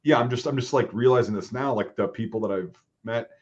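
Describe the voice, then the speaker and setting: A man with a Northern Midwest accent, thinking out loud in a casual conversation. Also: medium pitch, a little fast. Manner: rambling, restarts, thinking aloud. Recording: dull lo-fi sound.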